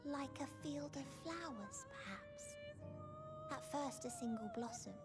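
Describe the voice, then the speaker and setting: A woman voicing a gentle, sorrowful, childlike otherworldly girl in a video game cutscene, softly and breathily, with tender, wistful solemnity. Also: high voice, expressive, a little slow, over loud music. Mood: grateful, solemn. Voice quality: soft, breathy.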